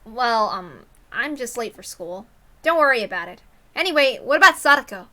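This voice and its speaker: A woman's voice: a little high, expressive, moderate pace, pushed and bright. Woman as an intense, blunt teenage boy. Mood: indignant, questioning. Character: earnest, blunt teenage schoolboy narrator-hero. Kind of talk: dramatic reading of a visual novel.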